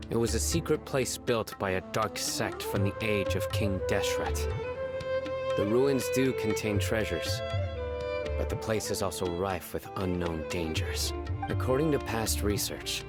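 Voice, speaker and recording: An actor voicing a stern young man, a grave desert judge, in a video game dub, speaking in a measured, terse way. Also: deep voice. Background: game music.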